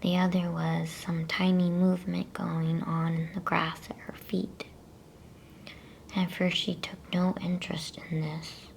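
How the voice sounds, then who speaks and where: A woman whispering a bedtime story in an ASMR style, hushed, soothing and unhurried. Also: on the low side, little pitch movement, creaky, slight background noise. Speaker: ASMR storyteller reading a children's novel aloud.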